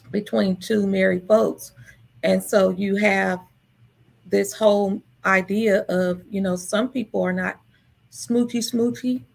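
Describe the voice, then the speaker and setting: Host, woman, very gravelly, husky voice, warm affirming interviewer. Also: mid-range voice. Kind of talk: casual interview talk.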